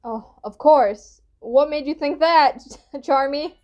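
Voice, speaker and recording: High-voiced young woman, lo-fi sound. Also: slow. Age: young adult. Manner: giggly, informal, rambling. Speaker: reaction video host. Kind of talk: casual reaction commentary. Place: indoor room at home.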